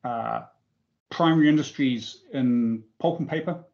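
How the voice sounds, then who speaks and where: A middle-aged man with a New Zealand accent giving measured, halting answers as an interview guest. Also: mid-range voice, vocal fry, a little mellow, lo-fi sound. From Auckland, New Zealand. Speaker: interview guest, forestry investment professional.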